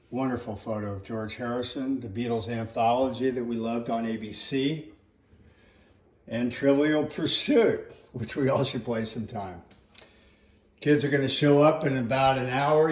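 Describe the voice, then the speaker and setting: A grandfather in his seventies, casually showing his home music memorabilia in a darkened room, fond and unhurried. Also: medium pitch, a little mellow, echoey room. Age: 70s. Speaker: grandfather hosting a family music event. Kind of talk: casual home video tour.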